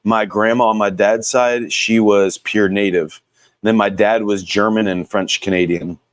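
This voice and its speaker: A man's voice: on the low side, fairly even intonation, unhurried, no room sound. A man chatting casually on a stream, crude and jokey, laughing often. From United States. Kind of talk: casual chat.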